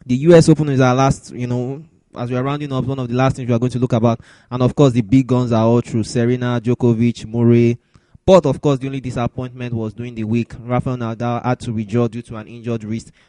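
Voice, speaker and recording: A man's voice: mid-range voice, little pitch movement, quick pace, mellow, no echo. A man hosting a sports podcast, talking through news and results as commentary. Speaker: podcast host.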